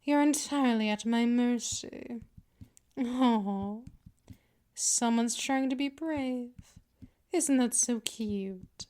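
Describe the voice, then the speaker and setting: A woman's voice: a little high, takes it slowly, pauses often, mellow. A woman playing a dominant, patient vampire mistress in a scripted ASMR roleplay, speaking in a condescending, teasing purr straight to the listener. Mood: menacing, teasing. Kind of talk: scripted ASMR roleplay, intimate second-person address.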